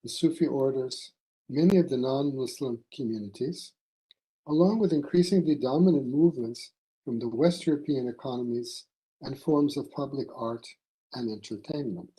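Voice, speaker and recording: A man delivering a formal academic conference paper from a prepared text, in measured, deliberate phrases. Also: medium pitch, warm tone, poor audio. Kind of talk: formal scholarly lecture read from a prepared text.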